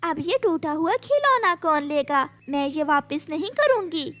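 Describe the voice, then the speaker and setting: Cartoon mother sparrow, played by a woman: small gentle toy seller, very high voice, earnest and plaintive. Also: very animated, a little slow, exaggerated voice. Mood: defensive. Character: gentle, hard-working mother sparrow selling toys. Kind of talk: Hindi children's cartoon voice acting.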